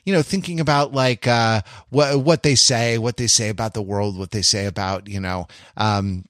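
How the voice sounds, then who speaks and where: A man's voice: fairly low pitch, steady tone, moderate pace, a bit bright, no room sound, clean studio sound. A man hosting a podcast in casual chat, talking in a wordy, discursive, wry way. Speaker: podcast host. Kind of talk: casual chat about TV shows.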